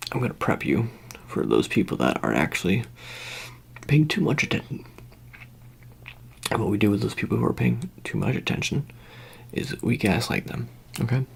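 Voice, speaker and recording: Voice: medium pitch, sing-song, moderate pace, vocal fry, pauses often, a little hiss or hum. An ASMR performer in a roleplay as a secretive, reassuring handler, murmuring improvised instructions to the listener in a hesitant, rambling way. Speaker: ASMR performer playing a character who preps the listener. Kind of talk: whispered ASMR roleplay, improvised personal attention.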